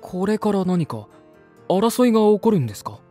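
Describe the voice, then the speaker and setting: A man's voice: sits high, big pitch swings, moderate pace, dark tone, faint music behind. An actor playing an earnest, peace-loving, uneasy man, polite and reflective, in a voice drama. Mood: puzzled. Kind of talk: voice drama dialogue and inner monologue.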